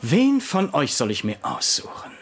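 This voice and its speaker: An actor playing a caustic senior doctor who talks in a mocking, bossy way in a dubbed comedy series. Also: male voice, fairly high pitch, huge pitch swings, moderate pace, a bit bright, slightly rough, exaggerated voice. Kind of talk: German-dubbed TV comedy acting.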